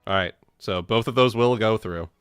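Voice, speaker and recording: A man's voice: fairly low pitch, normal speed, a bit bright, clean tone. A man chatting casually as a player in a tabletop roleplaying game. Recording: dry voice with background music. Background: music.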